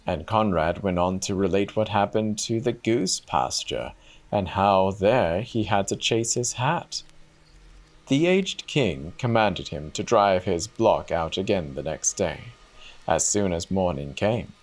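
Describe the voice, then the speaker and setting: A man's voice: deep voice, lively intonation, measured pace. A man giving a dramatic reading of a fairy tale as a storyteller.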